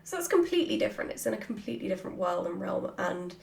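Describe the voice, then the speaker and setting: A woman chatting casually and playfully as she answers questions from her followers, with an animated manner. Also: mid-range voice, rapid-fire, gravelly. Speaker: writer hosting a Q&A. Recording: roomy, echoey sound.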